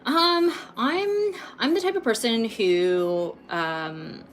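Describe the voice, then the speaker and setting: A woman in her late twenties from Canada, chatting casually with viewers while painting on a livestream, rambling a little and filling pauses with ums. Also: a little high, slight background noise. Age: late 20s. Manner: chatty, rambling, laughs often, many ums. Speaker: art livestream host.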